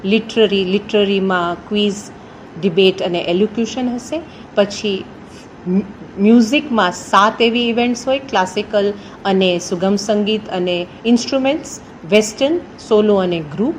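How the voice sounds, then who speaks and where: A woman reports on an event in a mid-pitched voice, with faint ambient noise behind her. She lists details with small hesitations and slips in English terms. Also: moderate pace, low-quality recording. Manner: mixes in English terms, hesitant between items. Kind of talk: semi-prepared spoken event report.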